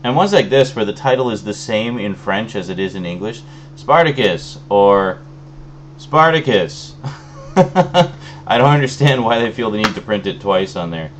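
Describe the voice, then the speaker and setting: A man's voice: fairly low pitch, unhurried, slight background noise. A man casually chatting as he shows off his latest film discs, with plenty of ums and restarts, a little phlegmy from a cold. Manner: relaxed, rambling, many fillers. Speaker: video host. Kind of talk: casual unboxing chat.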